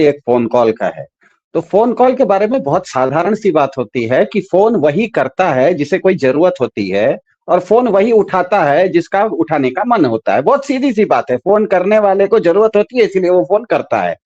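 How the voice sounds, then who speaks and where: A man with a fairly high voice talks through politics off the cuff, explaining step by step and repeating points for emphasis. The recording is slightly dull and lo-fi, with little room sound. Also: sing-song, normal speed, darkish tone, no room sound. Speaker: political commentator.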